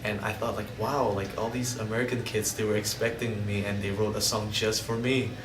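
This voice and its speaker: Hesitant young man, low, roomy and distant. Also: flat delivery, brisk. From Indonesia. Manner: hesitant, searching for words, many restarts and "like". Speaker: interviewee. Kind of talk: personal storytelling interview. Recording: roomy, distant mic, ambient noise.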